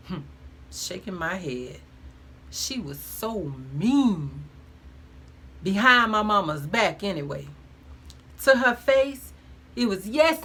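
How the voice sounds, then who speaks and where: A woman's voice: mid-range voice, bright, forceful tone. A woman reading her own first-person memoir aloud in a frank, conversational way with emphatic colloquial phrasing.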